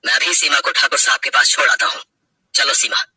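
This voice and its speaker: A vengeful bandit, acted by a man, with a very forceful, sharp edge and a heavily rasping voice. He speaks with bitter menace in dramatic story style, close and dry. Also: mid-range voice, a little fast, no echo, heavily acted. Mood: remorseful, resolved. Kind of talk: dramatic Hindi audio story acting.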